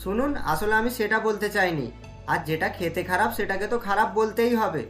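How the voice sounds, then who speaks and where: A young married man from a cartoon tale, doting but easily riled , voiced by a woman. The pitch sits well under the narrator's, and the delivery is conversational and emphatic. Music runs beneath. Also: normal speed, lightly acted. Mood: indignant. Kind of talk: cartoon story dialogue.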